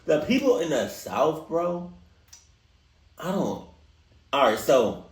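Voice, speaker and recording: A man's voice: fairly high pitch, takes it slowly, far from the mic. A man from Maryland with a Maryland accent, hosting a reaction video and chatting casually with his viewers. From Maryland, USA. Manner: animated, laughing, talks straight to viewers. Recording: roomy echo, a bit off-mic.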